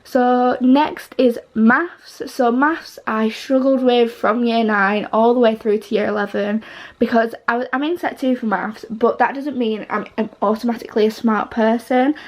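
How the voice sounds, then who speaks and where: A teenage girl chatting to camera, rambling with lots of fillers and restarts, her voice a little croaky. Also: fairly high pitch, darkish tone. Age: teens. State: slightly croaky voice. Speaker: vlogger. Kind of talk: casual chat to camera.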